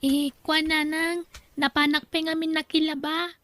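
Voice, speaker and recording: A woman's voice: sits high, level tone, normal speed, fairly bright tone, dry recording, studio quality. A woman with an Ilocano accent playing a meek, hesitant child in an audio drama. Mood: timid, tearful. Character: meek, hesitant child timidly answering a scolding mother. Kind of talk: Ilocano radio-style drama acting.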